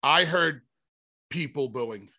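A man hosts a sports talk show in a high, bright voice. He moderates casually and hedges his views. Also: slow. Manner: conversational, hedging, moderating the debate.

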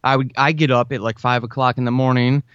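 A man's voice: medium pitch, level tone, quick pace, fairly bright tone, clean tone, no echo, muffled recording. A man chatting informally and earnestly as an interview guest, full of enthusiasm for his craft. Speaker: interview guest, audio drama writer. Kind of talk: casual chat.

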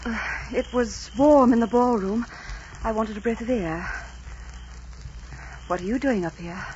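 An actress playing a proud, romantic young gentlewoman in 1940s radio style, speaking formally and with earnest drama. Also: a little high, unhurried, a little hiss or hum, lightly acted. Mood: tender. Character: spirited young high-born woman, romantic and proud. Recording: dull 1940s radio recording with music.

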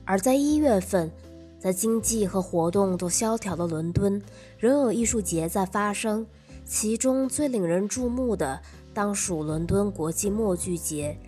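A woman's voice: mid-range voice, lively intonation, unhurried, dark tone, soft background music. A woman podcast host reading a scripted, first-person arts review.

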